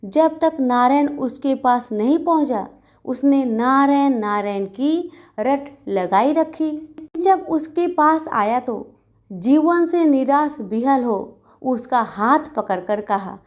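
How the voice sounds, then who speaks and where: A woman's voice: high voice, slow pace. A woman narrating a scripted devotional story as a storyteller.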